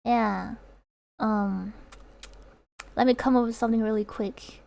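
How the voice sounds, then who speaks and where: A woman's voice: a little high, fairly even intonation, measured pace, vocal fry, a little mellow. A young woman streamer whose first language is Chinese, chatting casually with viewers, rambling with lots of fillers and laughter. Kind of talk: casual chat reading viewer comments.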